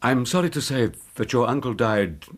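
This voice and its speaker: An actor playing a courteous middle-aged widower who speaks politely and haltingly in a radio drama. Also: male voice, mid-range voice, lively intonation, close to an everyday voice. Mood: apologetic. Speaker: Bob Carruthers.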